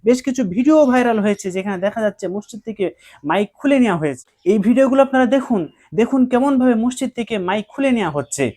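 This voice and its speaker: A man's voice: extremely high pitch, expressive, fast talker, darkish tone. A man giving explanatory commentary to viewers, emphatic and repeating his points.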